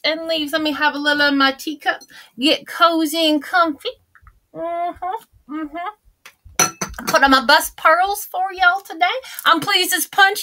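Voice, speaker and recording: A high, bright-voiced old granny, put on by a woman, drawling slowly through a picture book in a homespun, folksy way. She lingers on every word, chatty and theatrical. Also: full character voice. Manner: chatty and theatrical, gasping and exclaiming. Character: folksy old Southern grandma reading aloud. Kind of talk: storybook read-aloud.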